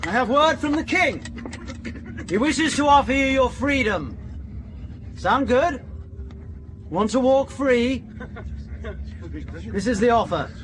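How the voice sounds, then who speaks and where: An actor playing an imperious, harsh British prison officer in a radio drama, proclaiming commands loudly. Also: male voice, very high-pitched, lively intonation, slow pace, long pauses, a little hiss or hum, full character voice, poor audio. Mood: commanding.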